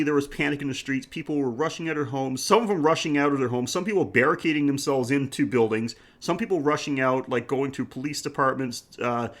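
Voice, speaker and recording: A man with a medium-pitched voice co-hosts a podcast. He talks in a loose, conversational style, discussing films. His manner is jokey and full of banter. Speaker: podcast co-host. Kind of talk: casual film discussion chat.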